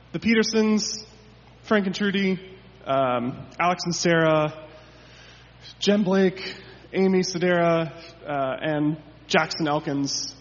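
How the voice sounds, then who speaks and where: Casual man thanking fellow churchgoers. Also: a little high. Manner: casual, self-deprecating, reads off names. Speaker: congregation member. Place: church sanctuary. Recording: echoey church hall, dull sound.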